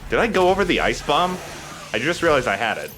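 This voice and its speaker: A man's voice: a little high, very animated, fast talker, pushed and bright, slight background noise, low-quality recording. A man giving casual gaming commentary as a let's play host, explaining things in a self-deprecating way.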